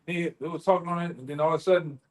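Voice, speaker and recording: A man's voice: fairly high pitch, steady tone, very fast. A man in casual, unscripted talk, colloquial and prone to repeating his phrases. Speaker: member of a street preaching group.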